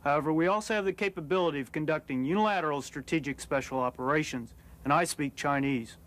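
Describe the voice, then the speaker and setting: Slightly high-voiced military commander, reciting. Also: male voice, quick pace, a bit bright. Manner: formal, recited. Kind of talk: formal scripted self-introduction.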